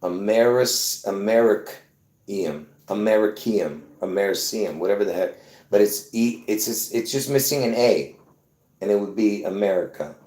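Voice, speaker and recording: A man from Lebanon hosting his own video, talking excitedly to viewers in a rambling, repetitive way with bursts of laughter. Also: fairly low pitch, normal speed, echoey room. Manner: excitable, repeats himself, laughs between points. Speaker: video host. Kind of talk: rambling casual monologue to viewers.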